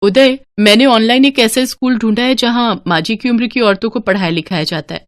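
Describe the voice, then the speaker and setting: A woman's voice: on the high side, brisk, close to an everyday voice. A woman voicing a kind, dutiful young daughter-in-law in a story, polite and solicitous. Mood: eager.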